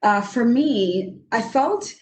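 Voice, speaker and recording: A woman's voice: a little high, slow pace. Trinidadian woman on a roomy video call. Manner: earnest, animated, many fillers and restarts. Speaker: economist panelist. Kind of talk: roundtable discussion.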